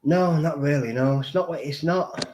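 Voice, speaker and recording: A man's voice: fairly high pitch, moderate pace, darkish tone, slight background noise. A man from Manchester with a Mancunian accent, a guest on a casual livestream who rambles, repeats himself and uses many fillers. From Manchester, England. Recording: dull lo-fi audio.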